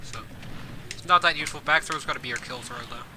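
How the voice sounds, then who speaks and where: Hoarse man rambling through game commentary. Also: a little high, fast, noisy background. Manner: rambling, many fillers and self-corrections. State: hoarse from coughing. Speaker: streamer and game guide host. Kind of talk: casual gameplay commentary. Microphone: desk mic.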